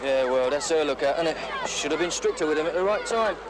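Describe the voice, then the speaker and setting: A grumbling, opinionated British dad played by a man in a vintage safety film. His pitch moves around a lot, and loud outdoor noise sits all over the old soundtrack. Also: lively intonation, fairly quick, natural voice. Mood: disapproving. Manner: opinionated, disapproving. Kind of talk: acted dialogue in 1970s public information film. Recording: noisy old film, outdoor traffic ambience.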